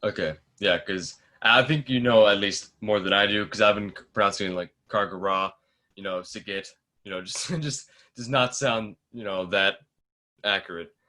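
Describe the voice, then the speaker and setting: A man interviewing in a casual chat, phrasing things in a tentative, halting way. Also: fairly low pitch, pushed and bright.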